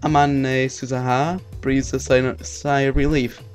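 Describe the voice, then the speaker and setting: A man's voice: medium pitch, level tone, unhurried. A man doing a let's play, reading game text aloud, stumbling over words and breaking in with casual reactions. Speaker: let's player. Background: video game music.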